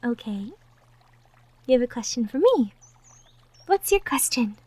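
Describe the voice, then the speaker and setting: Woman playing a high-voiced, gentle cottagecore girlfriend. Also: big pitch swings, unhurried, dry recording. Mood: thoughtful, open. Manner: soft, tender, breathy murmurs with light giggles. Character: Magnolia, gentle, caring young cottagecore girlfriend from the woods. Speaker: audio roleplay performer. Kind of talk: intimate romantic ASMR girlfriend roleplay. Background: birdsong and outdoor nature ambience effects.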